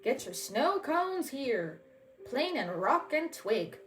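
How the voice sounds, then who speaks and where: A woman's voice: sits high, big pitch swings, slow, fairly bright tone, heavily acted. A kindly cartoon dog fellow, put on by a woman for a storybook. He is simple and friendly, calling out in a folksy way. Music plays behind. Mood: hawking.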